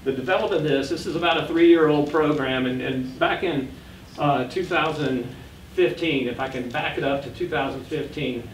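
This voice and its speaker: A sixty-year-old man presents informally to a board in a meeting room. His delivery is halting, with frequent restarts and corrections. The room echoes around his medium-pitched voice, which sits back from the podium microphone over faint noise. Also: recorded from a distance. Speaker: education board member presenting. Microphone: standing back from podium gooseneck microphone.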